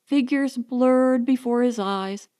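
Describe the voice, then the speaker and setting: A woman narrating a science fiction audiobook in a measured, deliberate, dramatic way. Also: on the high side, warm tone, clear, resonant tone.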